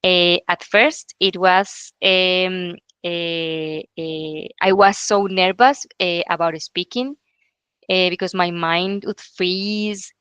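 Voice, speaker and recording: A woman's voice: lowish voice, fairly even intonation, takes it slowly, a bit bright, clean tone, no room sound. A young woman from Colombia with a Colombian Spanish accent, a native Spanish speaker, telling a personal story in a talk, hesitant and full of filler sounds. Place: stage talk.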